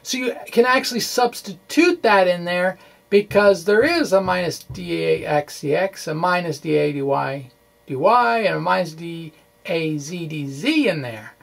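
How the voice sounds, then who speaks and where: A man presenting physics in an informal lecture style, with halting delivery, false starts and folksy, playful phrasing. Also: high-pitched, expressive, gravelly, a bit bright. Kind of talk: informal lecture walkthrough of equations.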